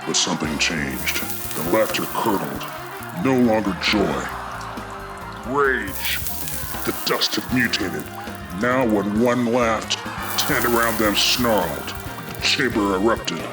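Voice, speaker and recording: An actor playing a grim, hard-boiled dragon detective, narrating a noir radio play in terse, brooding lines. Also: male voice, fairly low pitch, lively intonation, slow. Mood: brooding narration. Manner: terse, brooding, menacing delivery. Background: loud music.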